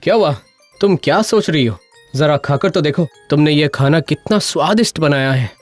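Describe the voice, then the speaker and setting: A man voicing a kind, supportive village husband in an animated story, easily impressed. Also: fairly high pitch, moderate pace, dry recording, faint music behind, lightly acted. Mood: pleased. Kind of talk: animated cartoon dubbing.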